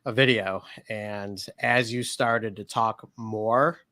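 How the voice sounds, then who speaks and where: A man's voice: fairly low pitch, steady tone, takes it slowly, sharp tone, dry recording. A man co-hosting a casual livestream chat, upbeat and joking as he plugs merch. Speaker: co-host.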